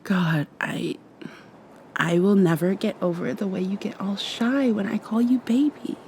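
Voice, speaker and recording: A woman playing a gentle, affectionate young vampire in an intimate ASMR roleplay, speaking softly with thoughtful pauses. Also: mid-range voice, gravelly, slight background noise. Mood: tender, earnest. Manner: soft, tender, unhurried, thoughtful pauses. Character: gentle, affectionate young female vampire confiding in her girlfriend.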